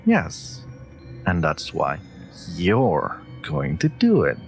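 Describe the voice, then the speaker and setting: A man voicing a clever, haughty wolf familiar in a scripted fantasy audio roleplay, speaking in a dry, smug, sharp-tongued manner. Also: low voice, huge pitch swings, drawn-out words, creaky, soft background music, exaggerated voice.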